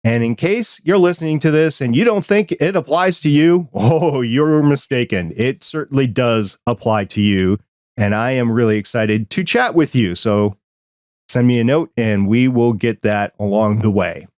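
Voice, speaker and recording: A man's voice: mid-range voice, lively intonation, normal speed, a little mellow, dry recording, studio quality. A man hosting a hobby podcast, chatting in an enthusiastic, conversational way. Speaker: podcast host. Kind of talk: casual podcast chat and news roundup.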